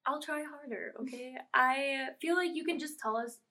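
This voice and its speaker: Young woman, podcast co-host, soft quiet voice, losing her voice, bright tone. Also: high-pitched, moderate pace. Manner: soft, quiet voice, frequent "like" fillers. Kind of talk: casual chat.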